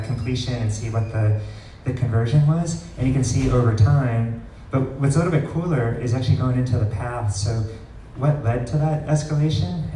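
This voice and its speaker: A man presenting a live software demo at an indoor meetup, explaining informally with frequent pauses, picked up by a distant camera. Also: medium pitch. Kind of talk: live product demo talk. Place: large wood-ceilinged meetup room, indoors. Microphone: far camera recording the room. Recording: roomy, distant pickup with faint noise.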